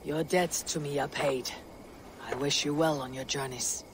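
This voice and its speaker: A man playing a stoic, steadfast Viking warrior leader in a video game, speaking in a measured, solemn way. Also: on the high side, steady tone, background noise, close to an everyday voice. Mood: calm, grave. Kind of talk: dramatic video game cutscene acting.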